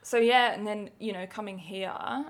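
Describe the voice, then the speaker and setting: A woman's voice: medium pitch, moderate pace. A young woman from England, her accent half English and half Australian, co-hosting a podcast in a chatty, laughing way. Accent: English with some Australian. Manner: chatty, laughs often, many likes and ums. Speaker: podcast co-host. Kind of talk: casual chat.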